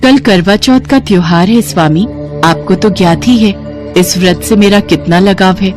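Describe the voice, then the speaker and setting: A woman's voice: mid-range voice, moderate pace, over music, natural voice. A woman voicing a gracious, devoted goddess and wife in a devotional story, earnest and formal.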